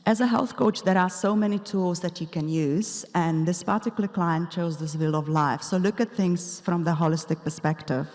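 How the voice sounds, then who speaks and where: Low-voiced Eastern European woman in echoey hall. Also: moderate pace, few pauses, darkish tone. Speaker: health coach, conference speaker. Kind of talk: prepared conference presentation with asides. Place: large indoor conference hall. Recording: echoey hall with long reverb.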